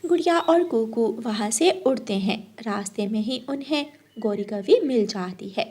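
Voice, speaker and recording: A woman's voice: a little high, animated, normal speed, darkish tone, husky. A woman narrating a scripted children's cartoon story.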